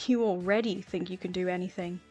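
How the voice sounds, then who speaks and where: A woman's voice: lowish voice, steady tone, a little fast, a little mellow, husky. Woman as a wry teenage schoolboy over music. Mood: teasing. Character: wry, self-conscious, teasing British teenage schoolboy. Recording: music playing underneath.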